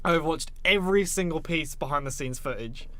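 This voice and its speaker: A man with a fairly high-pitched voice co-hosts a film podcast. He talks casually and enthusiastically, telling things in a rambling way and swearing freely. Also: normal speed, a bit bright. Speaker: podcast co-host. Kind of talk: casual chat about films.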